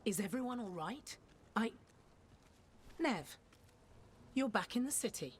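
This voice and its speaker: A woman's voice: a little high, animated, measured pace, a little hiss or hum. A woman voicing a dutiful, guarded templar officer in a video game, dry and clipped with wry exasperation. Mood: surprised, exasperated. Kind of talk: video game cutscene acting.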